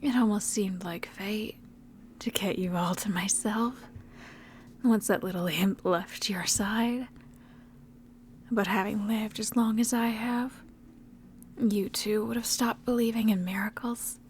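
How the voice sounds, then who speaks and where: A woman voicing a seductive, predatory, ancient vampire in a breathy, intimate whispered ASMR roleplay. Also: medium pitch, fairly even intonation, darkish tone, slight background noise, close to an everyday voice. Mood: seductive menace. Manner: slow, intimate, menacing endearments.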